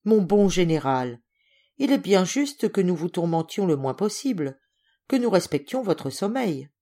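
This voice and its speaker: A woman's voice: fairly low pitch, very animated, unhurried, warm tone, heavily acted. A woman voicing a tactful, placating mother in a storybook, polite and soothing. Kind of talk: acted storybook reading.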